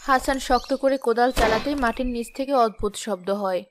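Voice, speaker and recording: A woman's voice: on the high side, brisk, noisy background. A woman giving scripted narration as storyteller of a cartoon tale.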